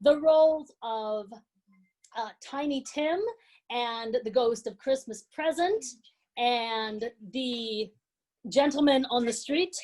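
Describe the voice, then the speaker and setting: A woman's voice: fairly high pitch, slow pace, darkish tone, rough recording. A woman giving an informal, proud and appreciative live announcement as presenter of a student program. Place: live before an audience at a student performance.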